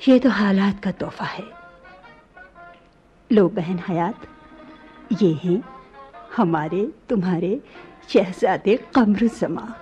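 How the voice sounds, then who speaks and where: A woman's voice: mid-range voice, darkish tone, dull audio, natural voice. A woman playing a princess who rules in men's clothing, commanding yet tender, with formal courtly delivery in a radio play. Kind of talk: Hindi radio play acting.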